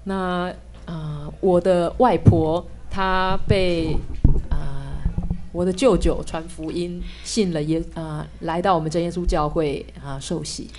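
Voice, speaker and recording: Young woman from Taiwan, low voice, measured church testimony between interpreter turns. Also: steady tone, a little mellow, slight background noise. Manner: measured, pausing for translation. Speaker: theology student giving testimony.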